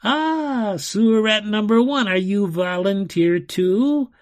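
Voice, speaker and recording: A man's voice: sits high, slow, voice pushed up. A man voicing a raging, sinister fire wizard in a storybook, commanding and gloating as he shrieks. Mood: gleeful menace.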